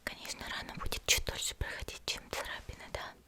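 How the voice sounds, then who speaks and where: Woman, breathy whisper, gentle and reassuring, ASMR personal-attention roleplay, caring young healer figure. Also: fast. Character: gentle caring young female demon-slayer healer tending a patient.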